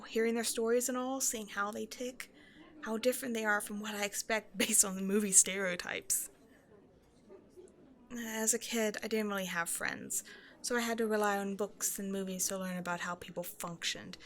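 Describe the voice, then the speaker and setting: A woman's voice: medium pitch, fairly bright tone, lightly acted. A woman playing a shy, self-doubting young woman who rambles hesitantly in an intimate one-sided roleplay conversation with a stranger. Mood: nervous, chatty. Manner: hesitant, apologetic, nervously overthinking, giggly.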